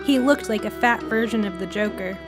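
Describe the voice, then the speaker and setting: A woman's voice: medium pitch, fairly quick. A woman reading a scripted first-person short story as a storyteller. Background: music.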